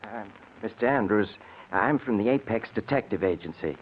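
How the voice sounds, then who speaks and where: An actor playing a deferential, slightly uneasy male private detective with a husky, hesitant delivery, in old-time radio style. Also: on the low side, animated, normal speed, a little hiss or hum, lightly acted. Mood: businesslike, hesitant. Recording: tinny old radio broadcast.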